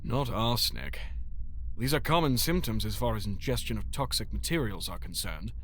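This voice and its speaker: An actor playing a careful doctor who speaks with clinical precision and tries to calm others. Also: male voice, lowish voice, normal speed, slight background noise. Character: careful, conciliatory male doctor.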